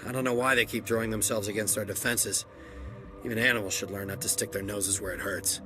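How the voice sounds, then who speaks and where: An actor playing a weary, hard-bitten security captain in a video game, with terse, plain-spoken military delivery. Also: male voice, lowish voice, fairly even intonation, fast talker, natural voice. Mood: weary, puzzled. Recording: music behind the voice.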